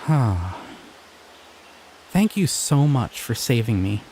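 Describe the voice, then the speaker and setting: A young man voicing a shy, excitable male slime creature in an audio roleplay, talking in an earnest, flustered, giggly way. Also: mid-range voice, slow pace, slight background noise. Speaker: voice actor.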